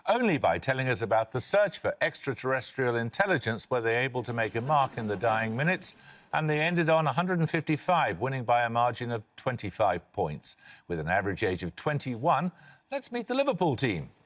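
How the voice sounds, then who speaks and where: An older man hosting a television quiz, reading questions in a crisp, brisk manner. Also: medium pitch, very animated, fairly bright tone. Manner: crisp, brisk quizmaster delivery. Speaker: quiz show host.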